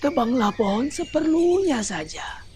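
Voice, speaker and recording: A woman voicing a calm, wise old grandfather who gives slow, solemn warnings. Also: sits high, slight background noise, pitched above the performer's own voice.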